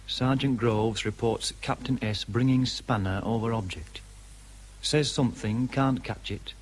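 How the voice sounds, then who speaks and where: An actor playing an army shorthand writer who reports timed entries impersonally in clipped military phrases, with faint noise behind, in a radio drama. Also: male voice, mid-range voice, steady tone, unhurried, lightly acted. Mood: impersonal, methodical.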